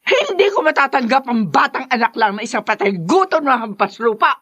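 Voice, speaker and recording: An actress playing a cruel, haughty older matriarch of a rich family in a radio drama, imperious and scornful. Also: female voice, fairly high pitch, big pitch swings, a little fast, fairly bright tone, husky, lo-fi sound, exaggerated voice. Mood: scornful, commanding. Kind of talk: Tagalog radio drama acting.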